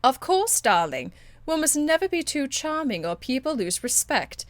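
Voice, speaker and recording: A woman's voice: high-pitched, big pitch swings, moderate pace, no echo. A woman voicing a proud, elegant, condescending court enchantress in a video game, with polished, haughty phrasing. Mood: amused, superior. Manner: haughty, polished, drawling endearments. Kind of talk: video game dialogue acting.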